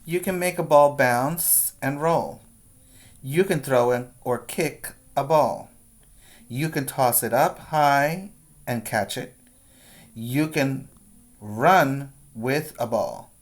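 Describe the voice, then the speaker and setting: A man teaching preschoolers, reading a picture book aloud with deliberate, instructional phrasing and short explanatory asides. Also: mid-range voice. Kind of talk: read-aloud for young children with explanatory asides.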